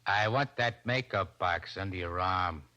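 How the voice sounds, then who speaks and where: A man's voice: low-pitched, a little slow, sharp tone. An actor playing a brazen, threatening gangster with slangy tough-guy talk in a 1940s radio crime drama. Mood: menacing, cocky. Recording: old radio drama broadcast.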